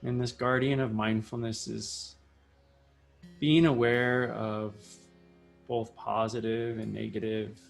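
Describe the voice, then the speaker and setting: Male meditation guide, very slow and soothing, gravelly fry in the voice, soft guitar music behind. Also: fairly low pitch, little pitch movement. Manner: measured, instructing in short phrases with long pauses. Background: soft plucked guitar music.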